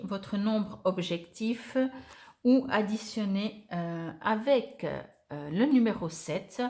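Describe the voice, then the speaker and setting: A woman giving a weekly oracle card reading, thinking aloud with many hesitations as she reads card texts and comments on them. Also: mid-range voice.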